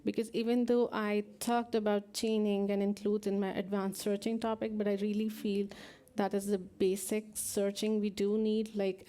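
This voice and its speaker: A woman speaker at a tech conference answering audience questions, soft-spoken and candid, with nervous little laughs. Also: medium pitch. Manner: soft-spoken, laughs nervously, frank about not knowing. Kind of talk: live Q&A after a technical talk. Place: conference hall.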